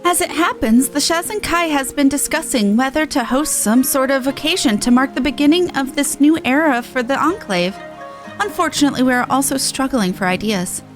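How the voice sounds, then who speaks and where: A woman voicing a gracious, formal official from a fantasy game, reading the dialogue expressively. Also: high-pitched. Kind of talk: amateur game dialogue read-aloud.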